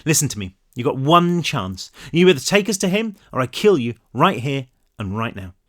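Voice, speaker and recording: A man's voice: mid-range voice, sing-song, normal speed, a bit bright. A man voicing a fierce, ruthless woman in an audiobook, snapping impatiently and threateningly.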